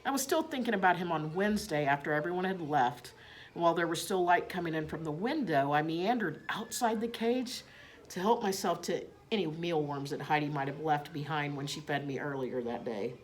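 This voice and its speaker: A woman reading a children's storybook aloud in expressive narration. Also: low voice, a little fast, slight background noise.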